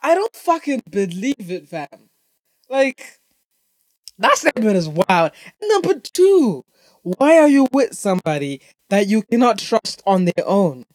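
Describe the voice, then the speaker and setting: A man's voice: high voice, very animated, unhurried, a little mellow, no room sound. A young man from Zimbabwe hosting a podcast, ranting casually and emphatically in slangy, profanity-heavy speech and repeating his points. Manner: emphatic, repetitive, heavy swearing. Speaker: podcast host. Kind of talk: casual opinionated rant, profanity-laden slangy chat.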